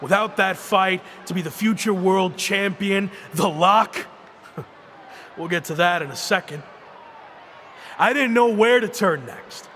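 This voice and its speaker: Cocky wrestler making a comeback, high bright voice, slow declaiming delivery. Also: male voice, a little hiss or hum. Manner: boastful, declaiming, emphatic. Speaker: wrestler giving a ring promo. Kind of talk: game cutscene dialogue.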